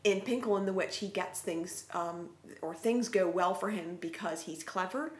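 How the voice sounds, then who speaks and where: A woman's voice: lowish voice, moderate pace. Woman chatting in a roomy living room. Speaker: co-host of a family vlog. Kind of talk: casual book chat to camera. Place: indoors, living room on a couch. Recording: roomy indoor sound.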